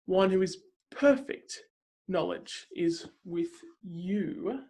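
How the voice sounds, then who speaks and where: A woman playing a zealous counselor who proclaims God's might in a declamatory, dramatic scripture reading with deliberate pauses. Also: fairly low pitch, lively intonation, warm tone. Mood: earnest, assured.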